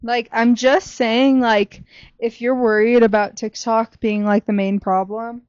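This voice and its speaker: Young American female co-host, fairly high-pitched, loose informal chat that trails off, dry close sound. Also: little pitch movement, measured pace, darkish tone, dry recording, highs cut off. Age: young adult. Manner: informal, heavy use of "like", trailing off. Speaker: podcast co-host. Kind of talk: casual chat.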